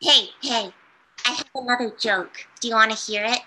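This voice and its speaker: High, very bright, eager woman co-host. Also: moderate pace, poor audio. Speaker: storytime co-host. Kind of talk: playful chat for children.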